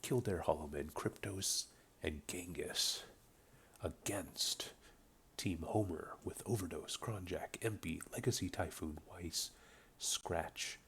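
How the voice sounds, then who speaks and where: Man, deep voice, unhurried, a little off from a cold, rambling replay caster, faint hum behind. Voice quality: voice slightly off from a cold. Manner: relaxed, rambling with many ums. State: getting over a cold. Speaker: game caster. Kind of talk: casual play-by-play commentary. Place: home room with a buzzing light.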